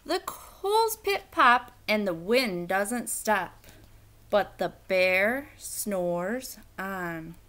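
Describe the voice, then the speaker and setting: A woman reading a children's picture book aloud in an expressive, theatrical, emphatic storytelling style. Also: medium pitch, drawn-out words.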